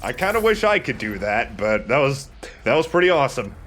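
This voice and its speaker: A man with an animated, widely swinging voice gives casual gaming commentary. He is wry and sweary, with faint music playing behind him. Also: mid-range voice, fairly quick. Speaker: let's play co-commentator. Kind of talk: casual joking game commentary.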